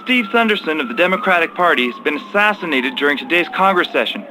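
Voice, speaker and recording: A man playing an urgent television news reporter delivering a live breaking bulletin in an animated game, over faint street noise. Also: fairly high pitch, fast, lightly acted.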